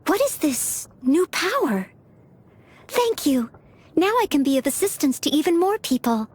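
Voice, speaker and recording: A woman's voice: sits high, big pitch swings, measured pace, a little mellow. A woman voicing a polite, self-effacing young maid and aspiring knight in a video game, eager to please. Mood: humble, grateful. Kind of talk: video game character voice lines.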